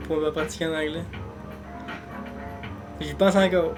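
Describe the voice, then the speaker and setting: A man with a Québécois accent co-hosting a podcast, rambling casually, laughing often and trailing off quietly. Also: on the high side, steady tone, measured pace, music in the background. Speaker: podcast co-host. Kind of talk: casual chat.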